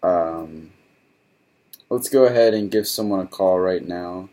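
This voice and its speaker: A man giving a casual tutorial in a hesitant, rambling, halting way. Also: low voice, mellow. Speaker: tutorial host. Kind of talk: casual tutorial talk to camera.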